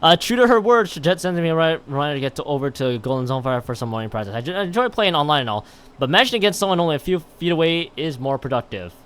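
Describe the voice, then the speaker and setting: A man's voice: fairly high pitch, animated, fast, a bit bright, clean tone. A man commentating on a game stream and reading game text aloud in a rambling way, often stumbling and correcting himself. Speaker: live streamer.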